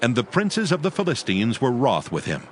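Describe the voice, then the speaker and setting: A man narrating a dramatized audio Bible, reading scripture as a solemn, measured storyteller. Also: medium pitch, huge pitch swings, slight background noise.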